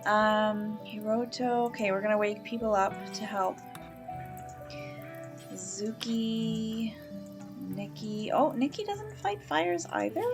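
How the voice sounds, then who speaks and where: A woman from Canada talks through her game at an easy, slow pace, musing aloud at her desk mic. Her voice sits a little high for a woman and stays warm and chatty. Faint background music plays behind her. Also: lively intonation, gravelly. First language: English. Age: middle-aged. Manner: chatty, thinks aloud, coos at baby animals. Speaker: let's play host. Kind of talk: casual gameplay commentary.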